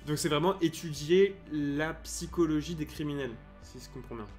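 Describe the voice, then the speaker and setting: Halting man hosting a live stream. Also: a little high, darkish tone, soft background music. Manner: hesitant, many restarts and fillers. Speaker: stream host, interviewer. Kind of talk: casual live-stream interview. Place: home room, indoors. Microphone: headset.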